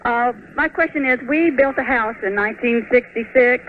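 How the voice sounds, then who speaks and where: A woman's voice: fairly high pitch, moderate pace. A middle-aged woman with an American accent casually asking a question as a caller to a radio show. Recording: noisy, tinny phone line on radio.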